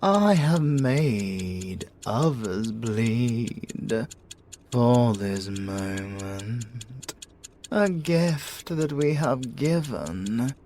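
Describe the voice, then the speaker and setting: A dark, mellow British siren, acted out by a man for an ASMR roleplay, clawed and dangerously obsessive. He croons extremely slowly, his pitch swooping between menace and tenderness, with a faint-to-clear noise behind him. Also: lively intonation. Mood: tender, possessive. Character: possessive male siren with talons, violent yet tenderly obsessive.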